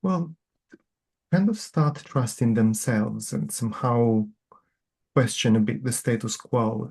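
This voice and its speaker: A man whose first language is not English, answering as a guest author in a measured, thoughtful way. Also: medium pitch, vocal fry, slightly rough. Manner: measured, thoughtful, gently earnest. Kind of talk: reflective interview answers.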